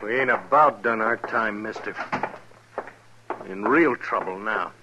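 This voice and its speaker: An actor playing a stubborn, resentful cavalry soldier in a 1950s radio western. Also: male voice, on the low side, a little slow, lo-fi sound, lightly acted. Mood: bitter, glum. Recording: hissy old radio recording.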